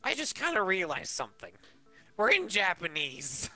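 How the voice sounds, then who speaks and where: A man's voice: sits high, wildly swooping pitch, normal speed, bright, forceful tone. A young man giving casual, joking commentary while dubbing a video game with friends. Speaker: amateur game dubber and commentator. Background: background game music.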